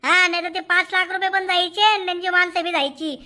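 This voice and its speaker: A man plays a cocky cartoon gang member with an extremely high, piercing bright voice. He teases and swaggers through comedy dialogue like a born wisecracker. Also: level tone, a little fast, exaggerated voice. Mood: impatient.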